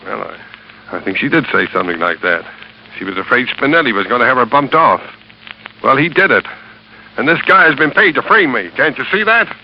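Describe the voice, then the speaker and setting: An actor playing a hard-boiled American fugitive in a radio thriller, tense and slangy, with clipped dramatic delivery. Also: male voice, medium pitch, big pitch swings, fairly quick, a little hiss or hum. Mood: protesting. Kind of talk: 1940s radio acting, dramatic first-person narration. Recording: dull old radio recording.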